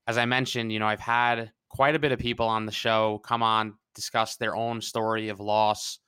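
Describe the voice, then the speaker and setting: A man's voice: fairly low pitch, flat delivery, normal speed, pushed and bright, clear, resonant tone, no room sound. A man hosting a podcast, upbeat and enthusiastic as he introduces his guest. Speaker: podcast host.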